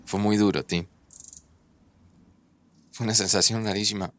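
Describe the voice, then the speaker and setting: A man's voice: on the low side, fairly even intonation, measured pace, a bit bright. An actor playing an earnest, hesitant man in his thirties, hurt and grieving, with a Rioplatense Argentine accent, in an audio drama. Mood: grieving, gentle. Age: 30s. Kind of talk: naturalistic audio drama acting.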